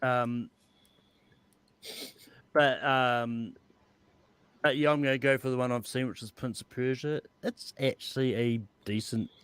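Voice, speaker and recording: A man's voice: mid-range voice, smooth. A man talking casually as a panelist with a dry, understated manner. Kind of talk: casual chat.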